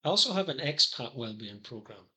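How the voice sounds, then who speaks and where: A man's voice: medium pitch, very animated, a little fast, bright, forceful tone. A middle-aged Scottish man presenting his psychology consultancy in a scripted monologue, earnest with wry, dry asides. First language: English.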